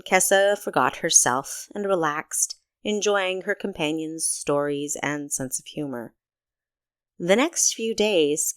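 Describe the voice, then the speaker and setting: A woman reading a story aloud as narrator in an expressive, scripted storytelling manner. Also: fairly low pitch, no echo, studio quality. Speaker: author narrating own audiobook.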